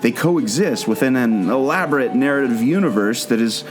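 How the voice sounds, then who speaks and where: A man's voice: mid-range voice, expressive, normal speed. A man in a scripted audio play, earnestly explaining a mystery like a storyteller leading his listener along. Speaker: podcast co-host, former theme park skipper. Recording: guitar music behind the voice. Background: plucked guitar music.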